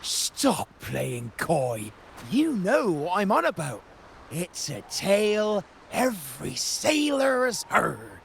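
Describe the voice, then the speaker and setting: Game pirate: creaky, high, slow and melodramatic, a cowardly blusterer. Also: male voice, huge pitch swings, fairly bright tone, slight background noise, heavily acted. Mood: dramatic. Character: blustering, theatrical male pirate, cowardly underneath. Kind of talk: video game character acting.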